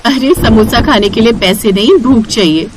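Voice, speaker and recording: A woman voicing a kind, hardworking mother who runs a food stall in an animated moral story, caring and reassuring. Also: on the high side, normal speed, noisy background, lightly acted.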